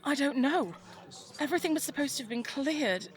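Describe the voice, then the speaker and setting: A woman's voice: high-pitched, lively intonation, fairly quick, soft background music. A woman playing a headstrong, caring young English daughter in a 1920s audio drama, with well-spoken, formal period phrasing. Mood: alarmed. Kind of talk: scripted audio drama acting.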